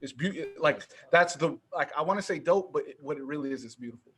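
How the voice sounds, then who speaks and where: A man hosting a casual interview, enthusiastic and slangy, often letting his voice drop soft. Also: fairly high pitch, lively intonation, fast talker. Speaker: podcast host.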